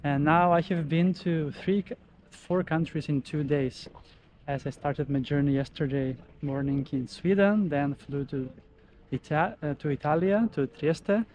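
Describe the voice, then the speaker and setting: A man's voice: fairly high pitch, a little slow, a little mellow, a little hiss or hum, poor audio. Upbeat man. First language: Macedonian. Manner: enthusiastic, touristy commentary. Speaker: travel vlogger. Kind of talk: casual on-location vlog narration. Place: outdoors on a seaside beach promenade.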